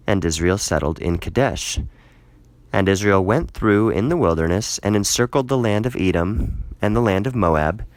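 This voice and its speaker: A man narrating scripture aloud in a measured, dramatic reading style, phrasing deliberately. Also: lowish voice, no room sound.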